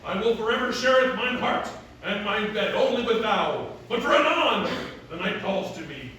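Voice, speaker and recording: A brooding masked avenger who declaims in grand mock-Shakespearean phrases with a rough, beastly growl. The voice is forceful and rings through a very echoey space, away from the mic. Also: male voice, high-pitched, expressive, normal speed. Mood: grandly resolute. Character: brooding masked male vigilante hero, grandly strong and scary. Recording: roomy echo, distant mic, faint noise.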